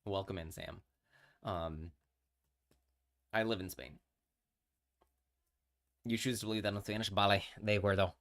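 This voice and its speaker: A man's voice: on the low side, steady tone, unhurried, long pauses, fairly bright tone. A man, chatting casually on a live stream and telling a story with many fillers and restarts. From New York.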